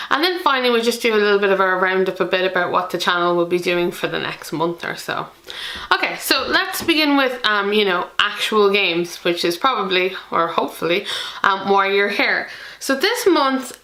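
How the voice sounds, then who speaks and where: A woman's voice: medium pitch, sharp tone, roomy sound, poor audio. A woman from Ireland with an Irish accent, hosting a board game channel in a chatty, self-deprecating way with many ums. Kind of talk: chatty solo video to camera.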